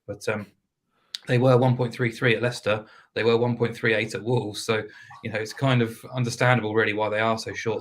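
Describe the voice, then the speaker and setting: Flat-voiced man, a bit hoarse. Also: lowish voice. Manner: measured, hesitant with fillers, flat delivery. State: losing his voice. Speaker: football betting pundit. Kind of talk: casual podcast chat.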